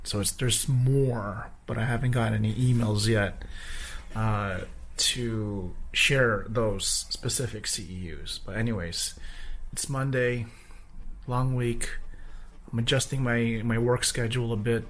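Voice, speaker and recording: A man with a hoarse voice talking casually in a vlog, rambling between thoughts. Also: medium pitch, fairly even intonation, unhurried, a bit bright, a little hiss or hum. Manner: rambling, with fillers. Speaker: vlogger.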